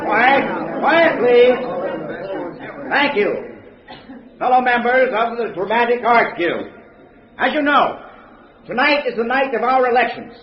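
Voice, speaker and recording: An actor playing a rousing guild orator, a man speaking deliberately to a mass meeting in a 1950s radio drama. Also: very high voice, expressive, some chatter behind. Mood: triumphant. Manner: declamatory, deliberate public oratory. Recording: echoey, dull vintage radio recording.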